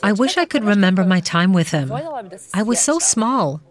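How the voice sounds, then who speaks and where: A woman's voice: mid-range voice, sing-song, moderate pace. A woman in her late twenties chatting candidly and a little wistfully with her sister. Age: 20s. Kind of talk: casual conversation. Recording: conversation with a crowd behind.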